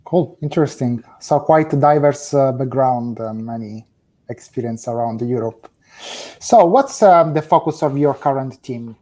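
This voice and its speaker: Mellow-voiced man hosting a talk show. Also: mid-range voice, normal speed. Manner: friendly, brief prompts with fillers. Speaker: podcast host. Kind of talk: interview hosting.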